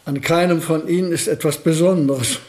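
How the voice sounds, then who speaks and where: An actor playing an elderly, absent-minded physicist professor who talks in a measured, lecturing way. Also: male voice, on the high side, fairly even intonation, darkish tone, natural voice. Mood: musing. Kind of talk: radio play acting.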